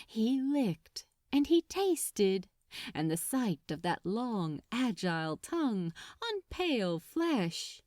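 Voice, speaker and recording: A woman reading a story aloud as a dramatic narrator, expressive and unhurried, lingering on her phrases. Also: fairly high pitch, no echo, studio quality. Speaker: audiobook-style narrator of fan fiction.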